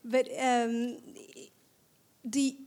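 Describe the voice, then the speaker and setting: A woman from Turkey in her thirties, speaking to an audience in a reflective way, with frequent pauses and restarts. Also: a little high, flat delivery, darkish tone. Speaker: panel speaker. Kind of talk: conversational talk to an audience.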